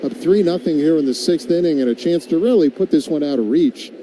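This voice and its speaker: A male American play-by-play announcer with a very soft, dark timbre and a slightly high pitch. He calls baseball live in radio style and chats casually between pitches, with some outdoor ambient noise behind him. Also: a little fast, low-quality recording.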